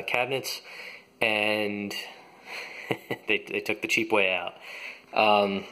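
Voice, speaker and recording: Young man, low voice, hesitant, lots of fillers, handheld camera in a kitchen. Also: low-quality recording. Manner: hesitant, many ums and uhs. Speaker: vlogger renovating his home. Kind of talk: casual how-to narration. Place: kitchen in a house, indoors.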